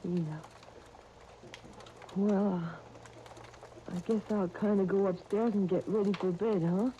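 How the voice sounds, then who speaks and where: A woman's voice: mid-range voice, steady tone, slow pace, dark tone, natural voice. A woman playing a young boy in a 1930s radio soap opera, boyish, blurting and a little sheepish. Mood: sheepish, apologetic. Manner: boyish, blurting, hesitant slangy talk. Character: young boy twin, scornful of romance, sheepish. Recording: dull, noisy 1939 radio disc recording.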